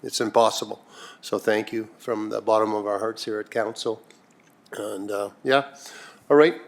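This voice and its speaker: A man's voice: on the low side, steady tone, normal speed. A man serving as reeve, giving a casual, rambling report to council with many fillers and restarts, on a desk microphone in a council chamber. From Canada. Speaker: reeve chairing a council meeting.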